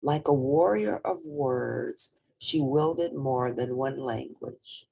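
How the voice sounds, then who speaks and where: A woman reading a children's picture book aloud in an expressive, deliberate and emphatic storytelling manner. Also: a bass voice, gravelly, a little hiss or hum. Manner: deliberate, emphatic storytelling with spoken asides to listeners.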